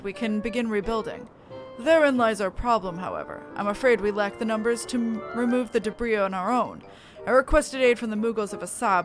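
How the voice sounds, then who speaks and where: A woman voicing a steadfast, formal noble mentor in a fantasy game, speaking in a measured, exhorting way. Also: mid-range voice, natural voice.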